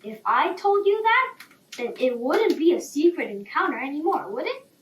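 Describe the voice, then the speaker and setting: Woman voicing a secretive, worried elephant, high-pitched. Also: big pitch swings, moderate pace. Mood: coy. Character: worried, secretive, blustering male elephant with wrinkled trunk. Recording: roomy, distant, faint background noise.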